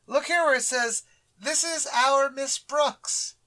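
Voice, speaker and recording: High-voiced, fretful teenage schoolboy. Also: female voice, slow pace, fairly bright tone. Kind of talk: 1940s radio sitcom acting.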